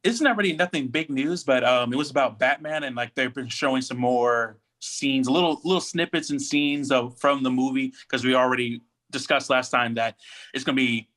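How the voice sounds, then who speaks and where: A man's voice: medium pitch, normal speed, a bit bright. A man chatting casually as a podcast co-host, giving his commentary. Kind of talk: casual chat, pop culture commentary.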